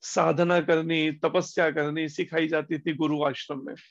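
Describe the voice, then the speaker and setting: A man giving a devotional discourse as a spiritual teacher, slowing down on key words and asking rhetorical questions. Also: a little high, little pitch movement, creaky. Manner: slow, deliberate emphasis with pauses on key words. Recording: dull lo-fi recording.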